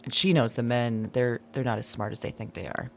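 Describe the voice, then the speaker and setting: An actress playing a lonely, awkward office worker, confiding haltingly and candidly in an audio diary drama. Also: female voice, extremely low pitch, fairly even intonation, gravelly, a little hiss or hum. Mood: unsettled, intrigued. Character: lonely, awkward woman office worker keeping a dream journal.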